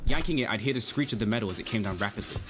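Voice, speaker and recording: A man's voice: fairly low pitch, expressive, very fast, a bit bright, faint music behind. An actor playing an anxious, earnest young amateur detective in an audio drama, flustered and precisely worded as he acts and narrates his story. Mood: breathless narration. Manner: flustered, stammering, protesting, precise wording. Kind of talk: audio drama acting with first-person narration.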